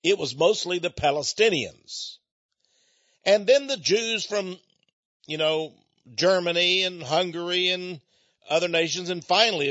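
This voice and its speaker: A man from the United States rambles through a personal monologue in a folksy, digressive way, breaking into chuckles. His voice sits a bit high. The recording is dull and lo-fi, with a dry, close sound. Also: fairly bright tone, dry recording. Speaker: speaker addressing listeners.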